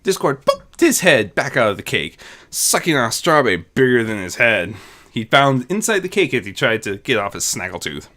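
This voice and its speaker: Reader narrating a fanfic, voice swooping way up and down, mid pitch. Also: moderate pace. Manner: expressive, wide-ranging delivery. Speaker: fan fiction reader. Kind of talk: storybook narration.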